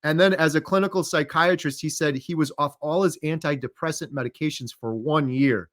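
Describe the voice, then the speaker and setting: A man chatting casually as an interview guest, telling things in an animated way and acting out his own thoughts. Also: fairly high pitch, fairly quick.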